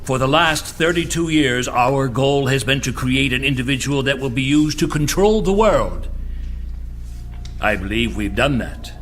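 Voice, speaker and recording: An actor playing the solemn, authoritative leader of a secret project in an audio drama, formal and deliberate. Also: male voice, medium pitch, a bit bright, a little hiss or hum, lightly acted. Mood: commanding. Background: faint music.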